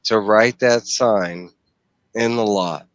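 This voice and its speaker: A man's voice: fairly low pitch, takes it slowly, no echo, highs cut off. A man in his forties hosting a casual book discussion, explaining things and putting questions to the group over a headset mic. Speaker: host of a book discussion stream. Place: small room at home, video call. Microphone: headset microphone.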